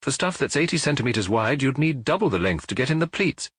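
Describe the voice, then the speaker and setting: A man's voice: mid-range voice, sing-song, fast, a bit bright, husky, no room sound, clean studio sound, pitched above the performer's own voice. A man voicing a proud, voluble housewife who explains things eagerly, in a detective audiobook.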